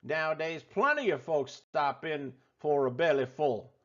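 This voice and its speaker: A man voicing a folksy, excitable restaurant owner telling a tall tale in a theatrical drawl for a children's storybook. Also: fairly high pitch, measured pace, highs cut off, voice pushed down. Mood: folksy, excited. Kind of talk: animated children's storybook read-aloud.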